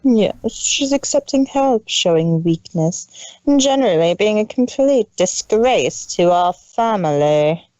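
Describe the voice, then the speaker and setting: Man putting on a haughty, drawn-out cruel mare. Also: sits high, lively intonation, a little mellow, no room sound, muffled recording, pitched above the performer's own voice. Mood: cold, judgmental. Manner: contemptuous, drawn-out, scolding. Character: cold, cruel, haughty older-sister pony mare.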